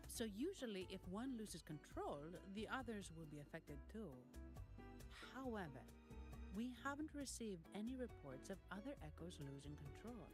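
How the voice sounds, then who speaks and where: An actress voicing a composed, perceptive woman who guides a visitor in a video game, with a measured, explanatory delivery. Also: on the low side.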